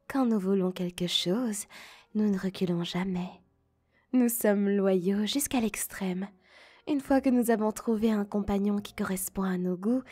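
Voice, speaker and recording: A woman acts a confident, flirtatious female werewolf in an ASMR roleplay. Her delivery is slow and breathy, often slipping toward a whisper, seductive and cuddly, over soft music. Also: medium pitch. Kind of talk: French ASMR roleplay acting.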